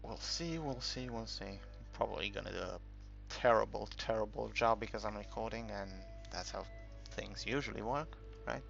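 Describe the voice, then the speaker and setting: A man with a fairly low, very creaky voice talks through a game in a casual tutorial style. He rambles and pauses as he thinks aloud. The sound is a little dull and lo-fi, with music playing behind him. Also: a bit bright. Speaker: gaming video creator narrating a tutorial. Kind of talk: casual unscripted game commentary.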